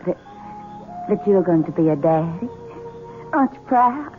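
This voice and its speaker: A coquettish Southern belle. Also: female voice, on the low side, very animated, normal speed, faint music behind. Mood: teasing. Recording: narrow-band old radio broadcast with faint hiss.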